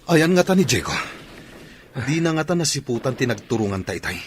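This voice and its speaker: A man's voice: mid-range voice, very animated, brisk, slight background noise, natural voice. A man playing an obedient, alert soldier in a radio drama battle scene. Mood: uneasy.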